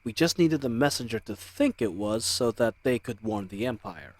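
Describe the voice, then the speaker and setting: A man's voice: medium pitch, animated, normal speed, no echo, natural voice. A man playing a charming, cocky bandit crew leader in an audio roleplay, with a teasing, swaggering, conversational manner. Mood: amused, conspiratorial. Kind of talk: dramatic audio roleplay acting.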